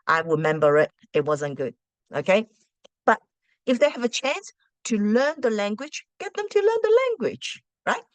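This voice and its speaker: A teacher whose first language is Cantonese, giving parents casual advice in a chatty way, full of rhetorical questions and restarts. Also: female voice, mid-range voice, big pitch swings, moderate pace, no echo.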